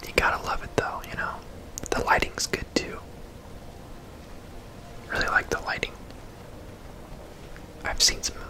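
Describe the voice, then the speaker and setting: A person whispering casual, laid-back ASMR commentary over a video game, in a breathy, unvoiced voice. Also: rough recording. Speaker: host, gamer.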